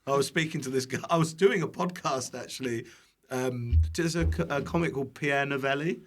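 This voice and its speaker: A man's voice: medium pitch, fairly quick, a bit bright. A British man, an online cooking creator and podcast guest, chats casually in a jokey, self-deprecating way, with casual swearing. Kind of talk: casual chat.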